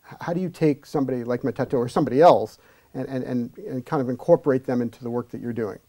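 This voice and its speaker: A man's voice: medium pitch, fast, dark tone. A middle-aged man hosting a studio interview show, inviting his guests to speak. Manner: friendly, inviting questions. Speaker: host.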